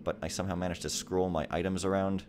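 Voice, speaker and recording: A man's voice: sits low, flat delivery, fast talker, a little mellow, slight background noise. A young man giving casual, wry, rambling commentary over a game he is playing. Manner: rambling, wry, sometimes reads game text aloud dramatically. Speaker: let's play commentator.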